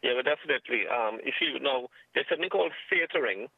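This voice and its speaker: A man with a Trinidadian accent speaking as an interviewed security expert over the telephone, in a formal, measured, emphatic way, repeating phrases for stress. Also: medium pitch, animated, gravelly, slightly rough, no echo. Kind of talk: phone interview commentary. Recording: muffled narrow-band telephone line.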